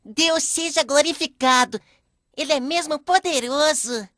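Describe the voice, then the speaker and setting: Curious little grandson in a cartoon story done by a woman, high voice, close dry sound. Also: a little slow, fairly bright tone, no echo. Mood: reverent. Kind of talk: animated storybook dialogue.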